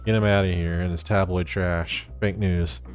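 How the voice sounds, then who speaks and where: A male streamer commenting casually on a game. His voice sits fairly low, his delivery is blunt and jokey, and faint music plays behind him. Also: fairly even intonation, moderate pace. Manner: blunt, joking, sweary. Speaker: gaming streamer.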